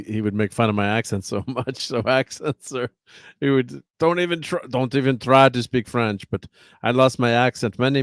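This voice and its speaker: A man's voice: mid-range voice, dry recording. Male host, casual rambler, lots of fillers, dry close mic. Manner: rambling, full of fillers and restarts. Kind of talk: casual chat.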